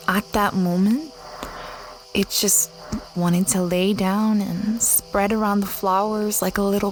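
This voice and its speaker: A woman acting a dreamy female space explorer telling her story in an audio drama. She speaks slowly and conversationally, full of wonder and reflection, with faint noise and night ambience behind her. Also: medium pitch, poor audio. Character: Livia Stargaze, dreamy young female space explorer recounting adventures. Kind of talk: first-person audio drama narration.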